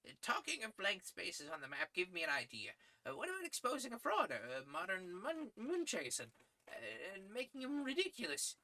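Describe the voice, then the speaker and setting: A man voicing a kindly, fussy old male news editor who talks slowly and hesitantly with tuts. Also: sits high, huge pitch swings, sharp tone, pitched above the performer's own voice, heavily acted. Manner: slow, hesitant, tutting.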